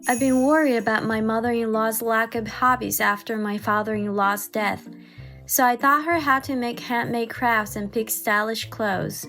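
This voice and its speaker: A woman playing a wary, hurt young wife who narrates her troubles in an expressive manga dub style. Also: fairly high pitch, moderate pace. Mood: surprised, relieved. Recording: music behind the voice.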